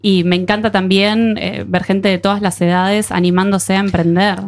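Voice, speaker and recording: A woman's voice: medium pitch, little pitch movement, normal speed. A woman with an Argentine accent hosting a show in a casual, colloquial and encouraging conversational way. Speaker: radio show host.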